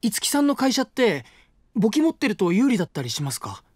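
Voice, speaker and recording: A gentle, mature university student next door. He talks politely and calmly, with a lively lilt and a touch of teasing. The recording is a dry, close studio take. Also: sits high, brisk, dry recording. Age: 20s. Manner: soft, polite and calm, lightly teasing. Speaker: voice actor. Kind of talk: voice drama acting. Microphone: close studio mic.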